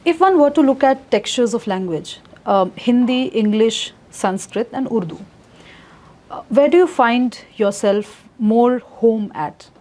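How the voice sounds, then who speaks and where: Higher-voiced woman interviewer, hesitant questions. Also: expressive, measured pace, dark tone. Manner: hesitant, many fillers.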